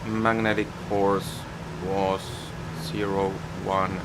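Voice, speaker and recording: A man from Finland with a Finnish accent, live-streaming a flight simulator and thinking aloud in halting, unhurried short phrases. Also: low-pitched, little pitch movement, background noise.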